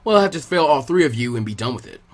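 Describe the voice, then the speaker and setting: A man voicing a gruff, derisive, smirking ninja instructor in an anime fan story. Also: medium pitch, big pitch swings, rapid-fire. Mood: stern.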